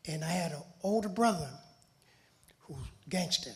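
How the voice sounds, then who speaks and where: Raspy-voiced man from Los Angeles. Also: high voice, normal speed, a bit bright. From Los Angeles, USA. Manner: plain-spoken, streetwise storytelling. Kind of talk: personal testimony.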